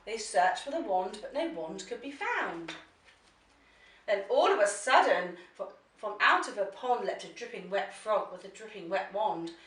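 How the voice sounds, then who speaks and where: Звонкая женщина выразительно читает сказку. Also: female voice, medium pitch, lively intonation, a little slow, fairly bright tone. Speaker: story reader for young children. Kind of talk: expressive picture-book storytelling. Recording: roomy echo, slightly distant mic.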